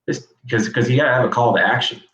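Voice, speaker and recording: A man's voice: mid-range voice, quick pace, a bit bright. A man, a coach as guest, talking frankly and casually over a video call. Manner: frank, conversational, repeats words as he talks. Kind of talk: casual interview chat. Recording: echoey, dull video call audio.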